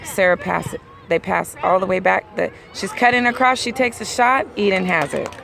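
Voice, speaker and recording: Hoarse woman, sideline play-by-play, gravelly voice, outdoor crowd noise, traffic behind. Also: medium pitch. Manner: rapid play-by-play, rising excitedly at key plays. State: losing her voice. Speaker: amateur sideline commentator. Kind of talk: play-by-play commentary. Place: outdoors at a soccer field.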